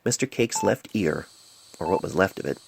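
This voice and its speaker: A man reading a dramatic story in a somber, deliberate manner, recorded on a cell phone mic. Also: sits low, animated, creaky, noisy background. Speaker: author reading own story. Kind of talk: dramatic scripted narration. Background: music and sound effects.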